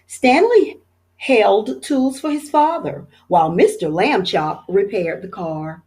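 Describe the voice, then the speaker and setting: Expressive woman reading a picture book aloud. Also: medium pitch. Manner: expressive, storytelling, pauses for pictures. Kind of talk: children's read-aloud.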